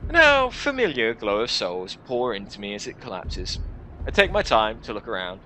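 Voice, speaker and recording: A man's voice: mid-range voice, wildly swooping pitch, moderate pace, pushed and bright, slight background noise. A man hosting a gaming video, reading visual novel text aloud with dramatic narration and wry, incredulous asides.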